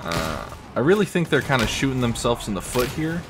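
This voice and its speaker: A young man with a scratchy throat rambling casually while he plays a video game. He talks with lots of fillers and casual swearing, in a deep, fairly monotone voice. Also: brisk. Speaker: gamer commenting while playing. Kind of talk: casual gameplay commentary.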